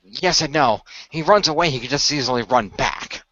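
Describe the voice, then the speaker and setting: Male game master, bright forceful voice, mid pitch, improvised narration, dry muffled recording. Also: moderate pace, no room sound. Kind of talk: improvised role-play narration and game talk.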